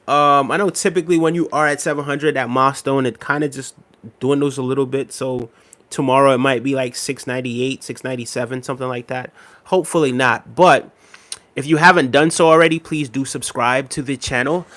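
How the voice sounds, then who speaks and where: A man in his thirties hosting a casual livestream, reading viewer comments aloud in a relaxed, repetitive way with many fillers, a little unwell and tired. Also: mid-range voice, a little fast, dry recording. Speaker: livestream host.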